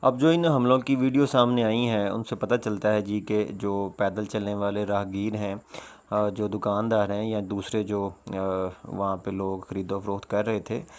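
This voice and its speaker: A man presenting informal, explanatory news commentary, often pausing mid-sentence and leaning on repeated filler phrases. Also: on the low side, little pitch movement, darkish tone. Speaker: presenter of a world news roundup.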